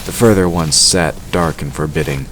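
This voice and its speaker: A man's voice: a bass voice, normal speed, fairly bright tone, a little hiss or hum. A man reading scripted narration for a fantasy audio drama.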